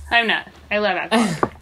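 A woman's voice: medium pitch, moderate pace, background noise. A woman co-hosting a casual podcast, reacting playfully and giggling often. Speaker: podcast co-host. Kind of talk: casual chat.

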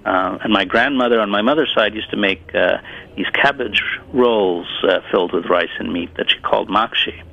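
A man's voice: on the low side, normal speed, vocal fry, sharp tone. A man from Italy whose first language is Italian, a cookbook author speaking over the phone in a casual interview, hesitant with many fillers and restarts. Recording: dull muffled phone line.

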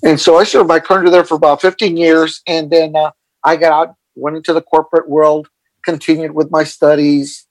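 Halting Texas-raised man, slightly high voice. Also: level tone, dry recording, poor audio. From El Paso, Texas. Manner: hesitant, many fillers and restarts. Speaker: guest, mindfulness coach for athletes. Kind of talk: interview answers.

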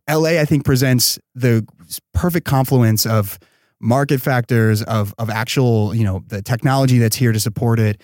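A man's voice: medium pitch, normal speed, a little mellow, no echo, studio quality. A man speaking as a startup founder and podcast guest, in casual, enthusiastic, slangy talk peppered with "like" and "you know.".

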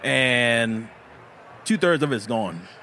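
A man in a casual festival interview explains how he makes his knives, earnest and a little halting, with frequent fillers. His pitch sits mid-range. Faint outdoor ambience hums behind him. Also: animated, sharp tone, a little hiss or hum. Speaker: knife maker.

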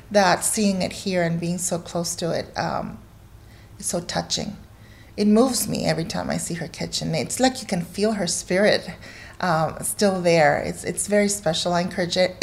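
A woman's voice: lowish voice, gravelly. A woman from Guatemala, a cookbook author, speaking conversationally and heartfelt as an interview guest.

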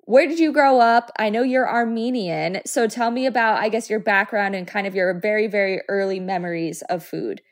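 A woman's voice: medium pitch, normal speed, few pauses. A woman in her thirties hosting a podcast, friendly and bubbly as she interviews a guest. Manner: bubbly, warm, laughs easily. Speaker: podcast host.

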